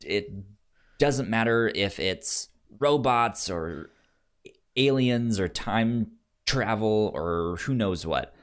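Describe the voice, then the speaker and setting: A man co-hosting a casual film discussion, speaking haltingly and often repeating sounds and words as he goes. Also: lowish voice, animated, a bit bright. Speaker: film podcast co-host.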